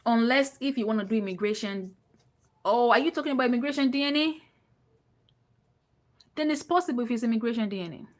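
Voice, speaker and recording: A woman's voice: fairly high pitch, a little fast, pauses often. A woman with a Nigerian accent coaching informally in a live online session, emphatic and repeating phrases for stress as she answers questions. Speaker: business coach.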